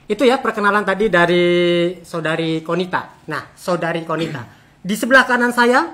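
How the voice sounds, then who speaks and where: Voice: medium pitch, expressive, normal speed, pushed and bright. An interviewer hosting a conversational chat, often repeating and affirming what guests say. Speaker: interviewer, host.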